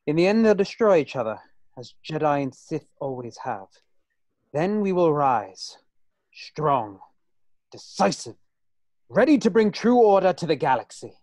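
A scheming military villain, played by a man, cold and haughty in a space-opera script reading. The voice sits on the high side and swings widely in pitch, recorded close and dry. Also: very animated, unhurried, long pauses, darkish tone, no echo. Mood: cold, imperious. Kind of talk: fan table read of a film script.

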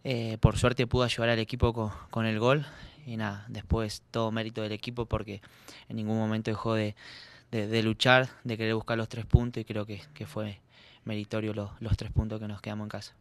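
A young man, a soccer player answering at a postgame press conference, earnest and halting with repeated words, speaking into a desk mic. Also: on the low side, little pitch movement, no room sound. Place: press room. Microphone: desk mic on stand.

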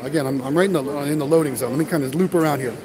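A man's voice: fairly high pitch, rapid-fire, a crowd in the background. A man hosting a casual livestream, chatting to viewers as he walks a large warehouse auction. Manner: chatty, addresses viewers as guys. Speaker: vending business vlogger and livestream host.

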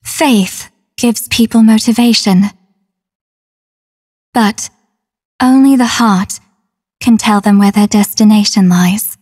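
A woman playing a solemn, tender female android soldier in a video game, speaking in a measured, formal, earnest way. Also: medium pitch, steady tone, darkish tone, breathy edge. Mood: solemn, wistful. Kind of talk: English dub game voice acting.

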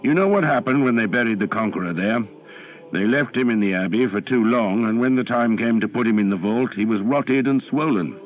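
A man's voice: medium pitch, expressive, brisk, voice pushed up, natural voice. A man voicing a cheerful, glib English earl who chats breezily in an audiobook. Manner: chatty and breezy.

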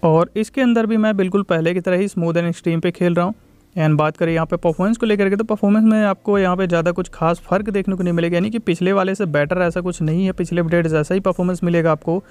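A man's voice: high-pitched, steady tone, fast talker, a little mellow, clean tone, dry recording. A man giving a casual, repetitive tech review voice-over, mixing in English tech terms.

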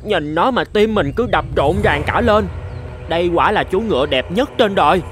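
A man's voice: sits high, lively intonation, moderate pace, a little hiss or hum. A man voicing a naive, good-natured young peasant in a cartoon fairy tale, eager and wide-eyed.

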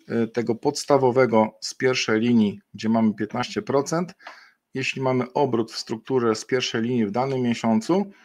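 A man's voice: medium pitch, darkish tone. A middle-aged man presenting a webinar over a webcam, explaining a program unscripted with frequent fillers and self-corrections.

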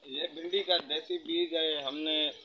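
A man's voice: on the high side, steady tone, measured pace, bright, forceful tone, a little hiss or hum, poor audio. A teenage boy proudly explaining the vegetables he grew, talking outdoors in a village garden to a phone camera. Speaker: young gardener showing his crops.

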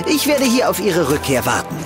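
A man's voice: sits high, wildly swooping pitch, normal speed. A man playing a wary, loyal, fretting valet in a German audio drama. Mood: worried. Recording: music behind the voice.

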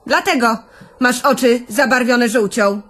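An actress playing a gentle pregnant young wife in a radio play, with an expressive, plaintive delivery. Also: female voice, a little high, measured pace, natural voice. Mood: mocking.

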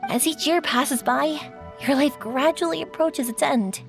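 High-voiced, sentimental young festival girl. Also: measured pace, creaky, over music. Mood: wistful, warm. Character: cheerful, sentimental young fireworks maker. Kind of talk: video game voice acting.

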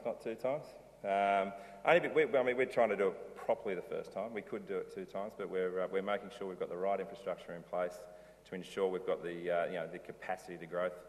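A man's voice: lowish voice, a little mellow. A middle-aged man giving a casual conference talk from a lectern, rambling loosely with many ums and restarts. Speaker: conference speaker, brewery owner. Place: large dark auditorium stage. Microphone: lectern mic, filmed from far in the hall. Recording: reverberant hall, distant camera.

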